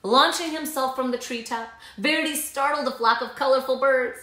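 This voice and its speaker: A woman's voice: high voice, moderate pace, sharp tone. A woman in her thirties reading a children's story aloud in a dramatic, emphatic way in a living room. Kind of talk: expressive children's read-aloud. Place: small living room, indoors. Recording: echoey room sound.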